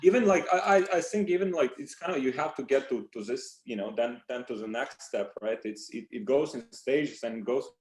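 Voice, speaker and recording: A man's voice: mid-range voice, moderate pace, few pauses, poor audio. A man hosting an interview, talking loosely and casually, with frequent "like" and some swearing. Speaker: host.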